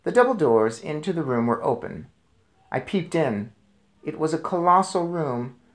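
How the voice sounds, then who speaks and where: Creaky-voiced man reading a storybook. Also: a little high, very animated, normal speed. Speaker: storybook narrator. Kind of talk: expressive read-aloud narration.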